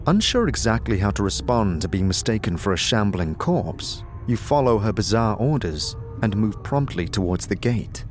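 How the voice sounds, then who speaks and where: A man's voice: on the low side, very animated, moderate pace, hardly any pauses, soft background music. A man reading scripted fantasy narration as a storyteller, vividly addressing the listener. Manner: vivid second-person storytelling.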